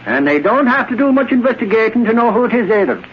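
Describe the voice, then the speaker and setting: An actor playing a cocksure, excitable reporter in an old-time radio drama, speaking in an emphatic, folksy way. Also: male voice, sits high, quick pace. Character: blustery, cocksure male newspaper reporter, eager and excitable. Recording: muffled, noisy vintage radio recording.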